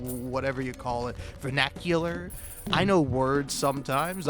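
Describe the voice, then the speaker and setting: A man's voice: a little high, fairly bright tone. A man in a rambling, comedic off-the-cuff monologue, stammering and restarting words, sweary and self-deprecating. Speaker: video maker. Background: background music.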